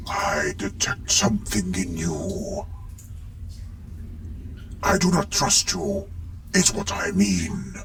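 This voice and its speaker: A high-pitched, croaking sorcerous villain, menacing and gloating. The delivery is theatrical and extremely slow, with whispery edges, as in a fantasy audio drama. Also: male voice, a bit bright, a little hiss or hum, heavily acted.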